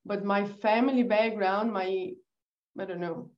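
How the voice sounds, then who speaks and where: A woman from Brazil with a Brazilian accent, a guest telling her story in a relaxed podcast interview, laughing easily and restarting her sentences. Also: medium pitch, a little slow, lo-fi sound. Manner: chatty, laughs often, restarts. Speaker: guest, agency founder.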